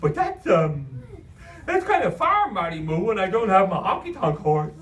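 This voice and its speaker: A man voicing a loud, goofy, hyperactive clownish imaginary friend with a silly, squeaky, put-on Cockney voice in a comic children's story. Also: sing-song, normal speed, reverberant, far from the mic. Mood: eager, puzzled.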